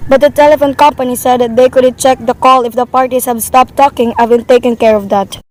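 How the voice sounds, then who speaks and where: A high-voiced, anxious invalid wife played by a woman in an amateur radio play. She is fretful and demanding, pressing her case insistently and at length. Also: fairly even intonation, a little fast, darkish tone, lightly acted, poor audio. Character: anxious, demanding invalid wife reporting an overheard murder plot.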